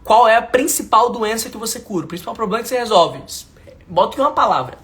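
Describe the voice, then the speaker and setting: Emphatic high-voiced Brazilian man teaching. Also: lively intonation, fast talker, fairly bright tone. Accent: Brazilian Portuguese. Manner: emphatic, repeats lines, asks the audience if it makes sense; informal slang, talks to viewers directly. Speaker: mentor teaching a class. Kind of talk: live online class lecture.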